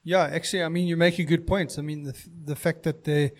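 A man with a slightly higher voice, speaking in a measured, thoughtful way. He adds his points calmly to a casual conversation about music. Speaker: podcast guest musician. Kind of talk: casual chat.

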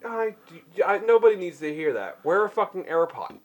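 A young man with a high, animated voice, loose and profane. He talks in halting, filler-heavy bursts and erupts into shrill laughter at his co-host's jokes. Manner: profane, giggly, shrieking laughter. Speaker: podcast co-host. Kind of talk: crude casual podcast banter.

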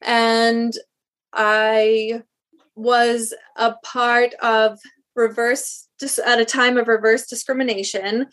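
A woman's voice: on the high side, little pitch movement, slow, fairly bright tone. A woman from South Africa, a guest in an interview, talking earnestly about her work and stopping often to rephrase. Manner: earnest, thoughtful, with many restarts. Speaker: guest, speech language pathologist. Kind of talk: interview answers.

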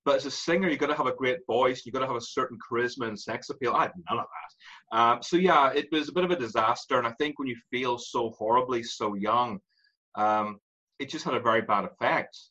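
A man in his forties with an Irish accent, a guest telling his story in an animated way and laughing through it. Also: mid-range voice, brisk, highs cut off. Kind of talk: candid interview storytelling.

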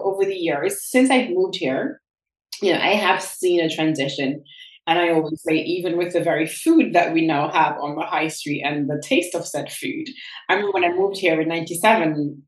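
A woman with a slightly low voice gives her views firmly and with emphasis, and sometimes sounds amused. The recording is roomy and echoey. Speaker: guest. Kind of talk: opinionated conversation. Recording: roomy echo.

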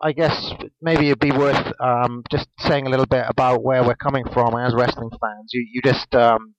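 A man's voice: medium pitch, flat delivery, moderate pace, dry recording. A Welsh man in his late twenties co-hosting a casual podcast chat, hesitant and full of ums and restarts. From Wales. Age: 20s. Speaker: podcast co-host. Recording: dull lo-fi dry sound.

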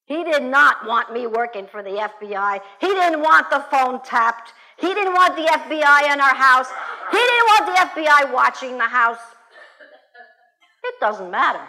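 A woman whose first language is Russian tells a personal story to an audience in English, animated and blunt, swearing freely. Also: high-pitched, normal speed, poor audio.

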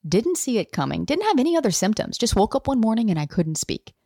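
A woman in her thirties hosting a podcast, telling a personal story in an animated way and acting out the people she quotes. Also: fairly high pitch, fast talker, dry recording, studio quality. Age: 30s. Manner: animated, acts out quoted dialogue. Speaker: podcast host.

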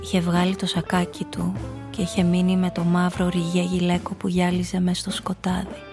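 A woman's voice: fairly low pitch, monotone, music in the background, close to an everyday voice. An actress playing a cool, calculating nightclub hostess, telling her story and speaking her lines in a composed, knowing radio drama style. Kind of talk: first-person noir radio play narration and dialogue.

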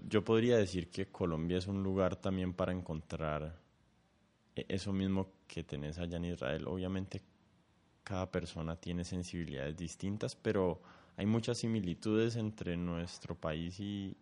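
A man with a very deep voice leads a relaxed interview. He asks long, winding questions and probes gently for more detail. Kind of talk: conversational interview.

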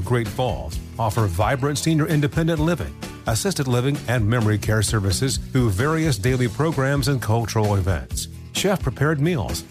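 A male advertisement announcer with a mid-pitched, very varied intonation. He reads scripted copy in a polished, measured way over clear background music. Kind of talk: scripted commercial narration.

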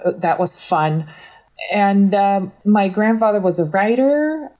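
A woman's voice: mid-range voice, measured pace, a little mellow. A middle-aged woman who grew up in Russia, speaking as a photographer guest in an interview, thoughtful with light laughs and fillers. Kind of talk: conversational interview. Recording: dull lo-fi audio.

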